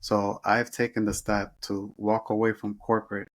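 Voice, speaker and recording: Low, flat-voiced man telling his story. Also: moderate pace. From Dominican Republic. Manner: earnest, with frequent restarts and self-corrections. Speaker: guest sharing life story. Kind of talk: interview storytelling.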